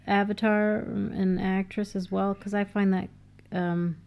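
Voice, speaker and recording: A woman with a nasal voice, co-hosting a casual film commentary with quick asides and plenty of fillers. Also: medium pitch, little pitch movement, creaky, muffled recording. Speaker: podcast co-host.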